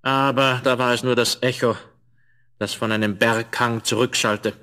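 A man narrating a scripted fairy tale in a measured, expressive storyteller's manner. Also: medium pitch.

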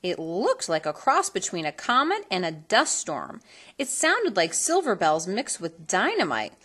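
A woman reading a children's storybook aloud in an expressive, theatrical manner that leans on colorful words. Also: mid-range voice, a little slow, slightly rough. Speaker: storybook reader.

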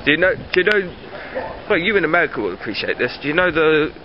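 A man's voice: fairly high pitch, big pitch swings, quick pace, background noise. A young man with a blocked nose from a cold, chatting casually into a handheld camera and laughing often. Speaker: vlogger. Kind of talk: casual daily vlog chat. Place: bedroom at home, later a busy cafe. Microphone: handheld camera at arm's length. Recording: slightly dull lo-fi camera audio, busy crowd.

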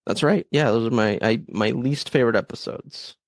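A man's voice: medium pitch, normal speed, dry recording, clean studio sound. A man co-hosting a horror movie podcast with a stuffy, nasal sound from a cold, joking and teasing himself in casual chat. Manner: playful, self-mocking, laughs a lot. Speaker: podcast co-host.

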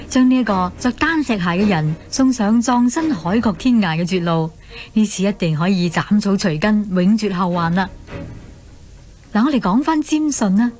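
A woman's voice: medium pitch, darkish tone. A woman with a Hong Kong Cantonese accent narrating a scripted myth story for a radio drama.

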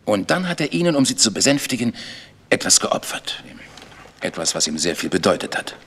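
A man's voice: lowish voice, sing-song, brisk, a bit bright, slightly rough, heavily acted. An actor playing a defensive, resigned village churchman in a German radio crime drama, explaining himself emphatically.

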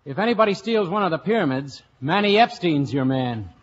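An actor playing a breezy, wry young investigator in an old radio comedy. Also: male voice, a little high, expressive, fairly quick, lightly acted. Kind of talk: 1950s radio comedy acting. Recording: muffled vintage radio broadcast.

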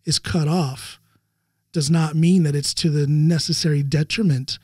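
A man's voice: on the high side, fairly even intonation, a little slow, darkish tone, slightly rough, no echo, clean studio sound. A man in his forties hosting a podcast, talkative and affirming, thinking aloud as he responds to his guest. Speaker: podcast host. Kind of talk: conversational interview. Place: home studio room, indoors. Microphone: large studio mic with headphones.

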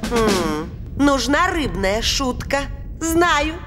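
A woman voicing a brisk, bossy, self-assured fairy nanny in a cartoon dub, expressive and matter-of-fact. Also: sits high, soft background music, exaggerated voice. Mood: brisk, confident.

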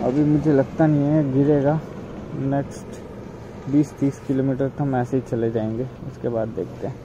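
A man chatting casually as he rides a motorcycle on highways, talking his ride through for his channel and thinking aloud as he goes. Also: level tone, normal speed, dull audio. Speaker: motovlogger, channel host. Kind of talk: casual running commentary while riding a motorcycle. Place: outdoors on a motorcycle on highways and city roads. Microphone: cheap camera setup mounted on the bike or helmet. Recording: heavy wind and traffic noise. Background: road traffic and engine noise.

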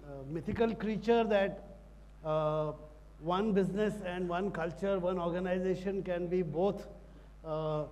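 A man moderates a panel on a conference stage, his speech full of hesitant fillers. His voice sits fairly high. A long hall reverb trails his words. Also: a little mellow, a little hiss or hum. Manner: hesitant, many fillers, mixes Hindi and English.

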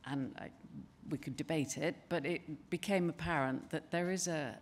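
An older woman giving a conference presentation at a lectern in a large wood-panelled hall, speaking in a measured, conversational way with frequent ums and restarts. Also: sits low, slight background noise. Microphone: lectern microphone.

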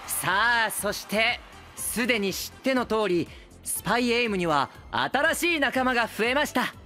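A man's voice: sits high, lively intonation, moderate pace, soft background music. A male actor playing a polite, princely young idol who hosts a stage event in an audio drama. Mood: gracious. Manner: courteous, smooth, gently chiding. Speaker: stage host.

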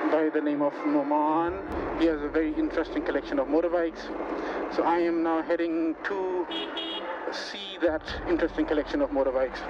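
A man with a Pakistani accent chatting casually and haltingly as he vlogs from a moving motorbike. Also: a little high. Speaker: motovlogger. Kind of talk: casual vlog chat while riding. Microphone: lavalier into action camera. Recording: muffled lavalier audio, loud traffic noise. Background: engine, wind and traffic noise.

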